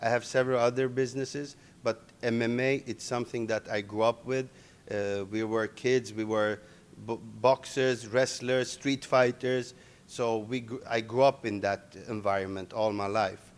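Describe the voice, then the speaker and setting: A middle-aged man, born in Iran and raised in Sweden, answering interview questions in halting English full of restarts. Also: mid-range voice, fairly even intonation, clean tone. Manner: halting non-native English with many restarts. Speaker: interviewee, MMA organization owner.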